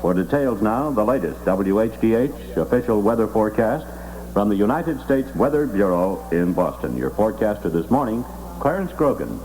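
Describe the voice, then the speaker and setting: A man's voice: fairly low pitch, very animated, moderate pace, darkish tone, low-quality recording. A man reading news and ads in a formal announcer style, on a vintage 1960s radio recording with hum. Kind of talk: scripted 1960s radio newscast and live ad reading. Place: radio studio.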